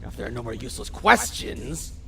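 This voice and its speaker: A man's voice: mid-range voice, very animated, a little fast, creaky, sharp tone, recorded from a distance, full character voice. A man playing a short-tempered, sarcastic vampire gang boss who swears and barks orders, in an amateur audio drama. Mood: impatient lecturing. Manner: profane, sarcastic, bossy.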